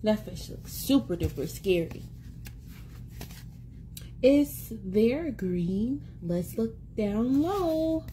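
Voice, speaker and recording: A woman in her thirties reading a picture book aloud to young children in an expressive, sing-song, encouraging way, repeating words for them. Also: on the high side, drawn-out words, pauses often, a little hiss or hum, poor audio. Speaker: children's read-aloud host and teacher. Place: small indoor room at home. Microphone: phone or tablet at arm's length.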